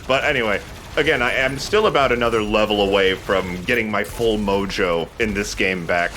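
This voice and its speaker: A man gives casual let's play commentary in a lowish, bright voice. He sounds lively in pitch, with faint noise behind him. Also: moderate pace, rough recording.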